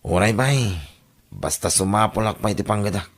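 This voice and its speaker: A man with a Filipino accent playing a calculating, smooth-talking boyfriend without a job in an audio drama. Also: lowish voice, expressive, fairly quick. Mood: evasive, coaxing. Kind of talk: Ilocano audio drama acting.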